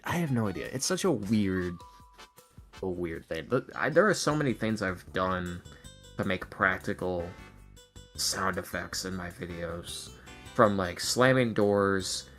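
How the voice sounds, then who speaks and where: Young male podcast guest, lowish voice, slangy self-mocking banter over faint music. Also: takes it slowly. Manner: animated, self-deprecating, exasperated joking, slangy. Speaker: ASMR roleplay audio creator, podcast guest. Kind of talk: casual podcast chat.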